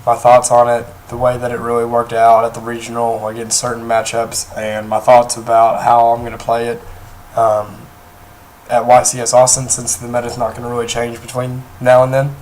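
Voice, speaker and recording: A young man talks in a hoarse, worn voice, his pitch held flat and even. He rambles casually with frequent ums and restarts while going over a card deck. A faint hiss of room noise sits under him. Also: normal speed, low-quality recording. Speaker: card game deck reviewer. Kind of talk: casual deck profile talk. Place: indoors at a table, off camera.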